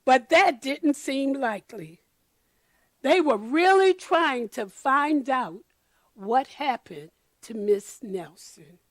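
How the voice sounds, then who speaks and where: Female storyteller for children's picture book read-aloud: high register, unhurried and expressive, dry sound. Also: no room sound. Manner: deliberate, addresses children and explains pictures.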